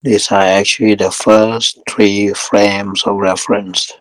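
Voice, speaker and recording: Male meditation guide, lowish voice, flat calm delivery in short measured phrases, close dry sound. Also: dry recording, low-quality recording. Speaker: meditation teacher leading a guided session.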